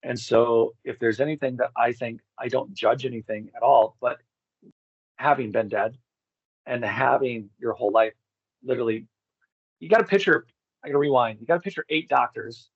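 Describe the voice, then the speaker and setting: Gravelly middle-aged man, roomy muffled sound. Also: mid-range voice, moderate pace. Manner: candid, rambling, profane. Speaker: podcast interview guest. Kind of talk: casual personal storytelling.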